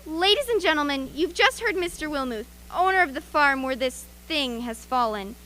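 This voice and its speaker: A woman playing an eager, brisk radio field reporter in a live news report from a radio play. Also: high-pitched, lively intonation, pushed and bright. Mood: impatient, polite.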